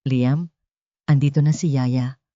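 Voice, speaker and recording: A woman's voice: rumbling low, fairly even intonation, slow pace, dark tone. A woman voicing a timid, tender housemaid and nanny in an audiobook drama. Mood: tender soothing. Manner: pleading, soothing. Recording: muffled, echoey sound.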